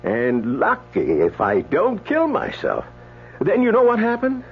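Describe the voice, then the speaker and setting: An actor playing a blunt, chatty doctor in a radio drama, with a folksy, exclamatory storytelling manner. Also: male voice, sits high, huge pitch swings, unhurried, slightly rough, full character voice. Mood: amazed, chatty. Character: blunt, chatty male doctor telling a tall tale. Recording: dull old radio recording, faint hum.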